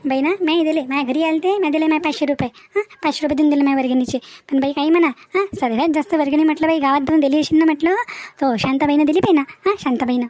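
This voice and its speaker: Woman playing a high-pitched, gossipy village housewife. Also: fast, darkish tone, no room sound, lightly acted. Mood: gossiping. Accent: rural Maharashtrian Varhadi. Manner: chatty, gossipy, emphatic rural talk. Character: talkative, sharp-tongued village housewife who gossips. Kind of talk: comic village dialogue for a cartoon.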